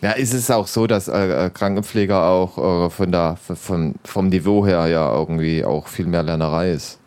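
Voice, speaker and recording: Hesitant low-voiced man, rambling. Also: moderate pace, darkish tone, clear, resonant tone. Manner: hesitant, rambling, many fillers. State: slightly congested. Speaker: co-host, trainee nurse. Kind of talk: casual radio chat.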